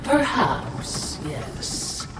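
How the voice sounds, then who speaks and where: A woman playing a sly, servile spirit attendant in a video game, dry and insinuating. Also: extremely slow. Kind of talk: video game cutscene acting. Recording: echoey, distant, loud background noise.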